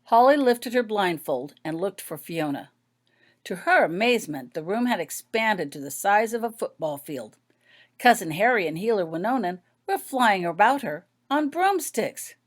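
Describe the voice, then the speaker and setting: A woman's voice: mid-range voice, sing-song, moderate pace. A woman reading her own story aloud as scripted audiobook narration.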